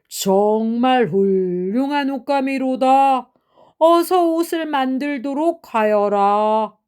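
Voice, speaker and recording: A pompous, gullible emperor from a fairy tale, put on by a woman storyteller. The delivery is stately and drawn out, in a high, mellow voice that gives orders slowly. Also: clean tone. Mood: pompous, commanding.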